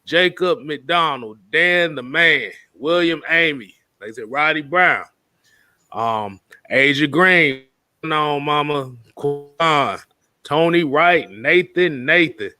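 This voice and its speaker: A man's voice: fairly high pitch, slow pace, sharp tone, soft background music. A man co-hosting a casual live sports talk stream, joking and reading out viewer names in a loose way. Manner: loose, joking, laughs a lot. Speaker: co-host of a sports talk show.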